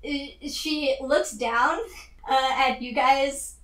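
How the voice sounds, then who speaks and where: A woman playing a tabletop role-playing game, narrating casually in an animated, giggly, rambling way. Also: sits high, very slow, reverberant, low-quality recording.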